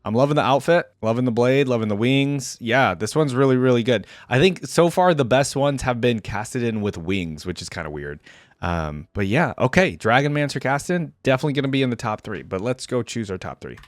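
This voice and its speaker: A man's voice: medium pitch, expressive, fairly quick, dry recording, clean studio sound. A man hosting a reaction video, commenting casually and off the cuff in a conversational way, thinking aloud as he goes.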